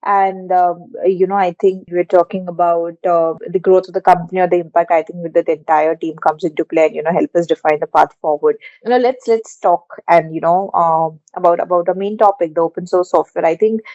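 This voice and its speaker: A woman's voice: on the low side, fairly even intonation, a little fast, hardly any pauses, darkish tone, no room sound, lo-fi sound. A woman hosting a podcast interview, asking long winding questions with many hesitations. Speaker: podcast host.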